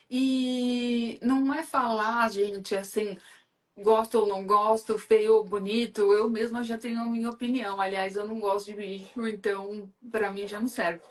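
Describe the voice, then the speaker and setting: A woman from Brazil hosting a live stream, talking in a chatty, enthusiastic way with lots of fillers. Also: a little high. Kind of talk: casual live chat.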